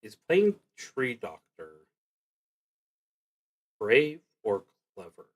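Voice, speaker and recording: A man livestreaming a solo roleplaying game, reading prompts and thinking aloud in a halting, dryly wry way. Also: mid-range voice, very animated.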